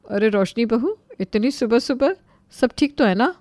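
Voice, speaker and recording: A woman's voice: a little high, animated, unhurried, darkish tone, dry recording, pitched above the performer's own voice. A woman voicing a stern, meddling older aunt who scolds indignantly in a family story. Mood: curious.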